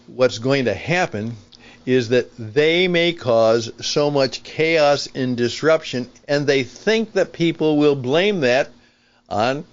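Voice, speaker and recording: An older man hosting an opinion podcast, speaking off the cuff in a deliberate, emphatic way and repeating phrases for stress. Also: medium pitch. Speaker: podcast host, veteran broadcaster. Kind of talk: unscripted opinion monologue. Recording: dull lo-fi sound.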